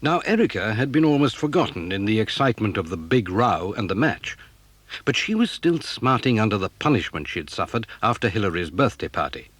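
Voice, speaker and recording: A man's voice: on the low side, big pitch swings, brisk, a bit bright, no echo. A man with an English accent giving scripted narration for a children's story dramatisation.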